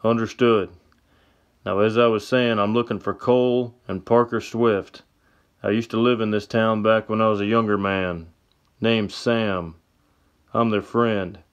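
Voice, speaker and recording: A man voicing a reformed gunslinger who speaks calmly and steadily. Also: measured pace, close to an everyday voice. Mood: steady. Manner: calm, steady, low-key. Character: deep-voiced reformed male gunslinger.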